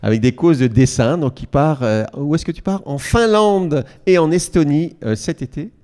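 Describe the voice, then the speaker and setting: A man co-hosting a casual podcast chat, speaking haltingly with self-corrections and playful asides. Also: fairly high pitch, expressive, warm tone. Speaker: podcast co-host. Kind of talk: casual chat before a live audience.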